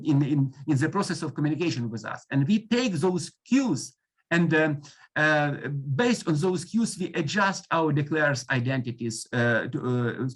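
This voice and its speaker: A man giving an academic lecture over a video call, speaking English as a second language with Ukrainian as his first, halting often with fillers and restarts. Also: mid-range voice. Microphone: computer mic on a video call.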